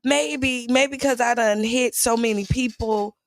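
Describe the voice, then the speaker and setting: A woman co-hosting a morning show, her voice hoarse and raspy, chatting casually and telling stories. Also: fairly high pitch, fairly even intonation, a little slow. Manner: chatty, storytelling, laughs easily. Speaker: radio show co-host.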